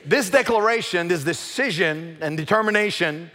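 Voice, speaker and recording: Male preacher, English rusty, stop-start with humor; a bit high-pitched, big reverberant sanctuary. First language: French. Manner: halting, with many fillers and restarts; lively, joking with the congregation. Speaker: guest preacher. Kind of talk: church sermon. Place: large church sanctuary.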